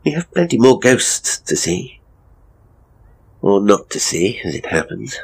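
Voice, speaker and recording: A man's voice: mid-range voice, sing-song, measured pace, vocal fry, husky, full character voice. A man playing a hapless, pompous middle-aged ghost tour operator in a horror audio drama, with a British accent and a grumbling, theatrical, wordy delivery. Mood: pompous, indignant. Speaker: ghost tour guide and storyteller.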